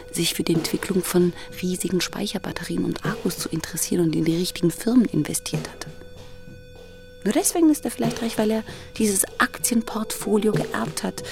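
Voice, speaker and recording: A woman's voice: lowish voice, creaky. A woman speaking conversationally in a radio piece, with a pointed, questioning manner. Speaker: sceptical questioner.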